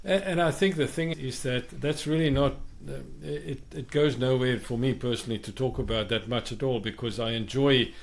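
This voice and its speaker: A man's voice: mid-range voice, normal speed, gravelly, few pauses. A middle-aged man with a South African accent, co-hosting a podcast and speaking in a thoughtful, emphatic way about migration. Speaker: podcast co-host. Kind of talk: reflective podcast conversation.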